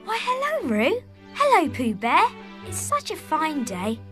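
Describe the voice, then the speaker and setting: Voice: high-pitched, wildly swooping pitch, a little slow, bright, forceful tone, heavily acted. A performer playing a kind, patient, cheerful young boy in a children's cartoon, teaching his friends in an encouraging way. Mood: friendly, encouraging. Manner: patient, encouraging, clearly enunciated. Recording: music under the voice.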